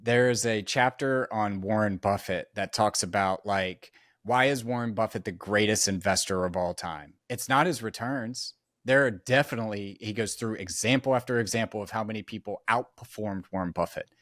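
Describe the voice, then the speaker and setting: A man's voice: on the low side, normal speed, a bit bright, no room sound, studio quality. A man guesting on a podcast as a real estate investor, easygoing, agreeing often and telling anecdotes. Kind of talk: casual interview chat.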